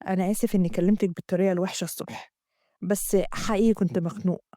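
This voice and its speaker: A woman voicing a smooth-talking, manipulative young man with an Egyptian accent and a low, soft murmur. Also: steady tone, racing, no echo, clean studio sound, natural voice. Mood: low-key. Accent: Egyptian Arabic. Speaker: storyteller voicing a character.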